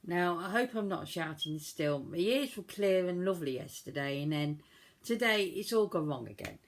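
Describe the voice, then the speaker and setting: A woman with a cold, croaky voice, chatting casually through a makeup tutorial in a self-deprecating way. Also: fairly low pitch, lively intonation, normal speed, slight background noise. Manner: chatty, self-deprecating, talks while working. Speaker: beauty vlogger.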